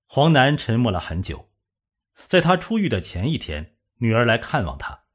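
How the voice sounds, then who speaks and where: A man with a husky voice reading a scripted suspense story as an audiobook narrator. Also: medium pitch, very animated, normal speed.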